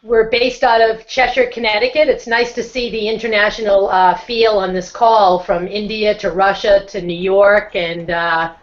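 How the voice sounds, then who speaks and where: A middle-aged woman with a broad Boston accent talks freely and earnestly, like someone who has always loved to talk. Her voice sits at an ordinary pitch and comes through the muffled, lo-fi sound of a video call. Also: fairly even intonation, moderate pace, hardly any pauses. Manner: talkative, earnest, self-described talker. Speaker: guest, motivational speaker. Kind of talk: casual online chat. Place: home setup on a video call. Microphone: computer microphone on a video call. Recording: dull lo-fi video-call audio.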